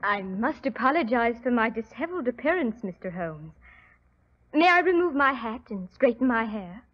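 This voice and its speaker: A woman's voice: fairly high pitch, sing-song, moderate pace. An actress playing an anxious, well-bred young society woman in an old radio mystery, earnest and melodramatic. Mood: demure, hesitant. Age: young adult. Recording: dull, muffled 1940s radio recording.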